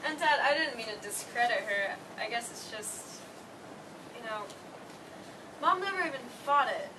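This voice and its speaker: A woman's voice: on the high side, animated, moderate pace, natural voice. A woman playing an emotional, guilt-ridden eldest daughter in a staged play reading. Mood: accusing, questioning. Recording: distant mic, noisy room.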